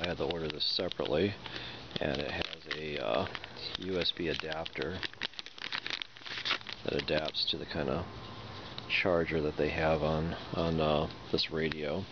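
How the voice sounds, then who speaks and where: A man with a very low voice talks casually through a product unboxing. He thinks aloud with some hesitation as he works. The recording is dull and lo-fi, with heavy noise throughout. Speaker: product reviewer. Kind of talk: casual unboxing and demonstration talk. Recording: dull lo-fi sound with loud noise.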